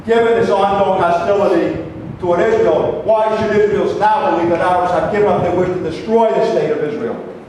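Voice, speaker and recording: A man's voice: high voice, brisk, darkish tone, close to an everyday voice. A man playing an aggrieved, combative older Israeli debater in a staged play reading, arguing with emphatic indignation. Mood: argumentative. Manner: argumentative, indignant, emphatic declamation. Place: live staged reading in a reverberant room. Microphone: distant microphone. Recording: echoey hall from far off, noisy.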